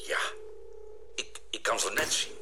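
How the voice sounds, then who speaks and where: An actor playing a sober, sceptical scientist on a spaceship crew in a radio drama, thoughtful and explanatory in manner. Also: male voice, unhurried, background noise, lightly acted. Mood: tense. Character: sober, sceptical male scientist aboard a spaceship, reasoning carefully. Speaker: crew member.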